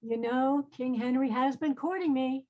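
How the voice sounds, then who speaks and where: A woman plays a doomed young queen in a stage drama. Her voice is high, and she speaks in an earnest, measured way, proud and devout. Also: warm tone, highs cut off, close to an everyday voice. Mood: wistful, earnest. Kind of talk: online play reading.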